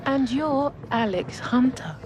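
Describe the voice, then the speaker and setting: Woman, reassuring, affectionate, unhurried, animated intonation, game dialogue. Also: high-pitched, low-quality recording. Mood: tender, encouraging. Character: reassuring, affectionate woman encouraging a young footballer. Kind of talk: naturalistic video game cutscene acting.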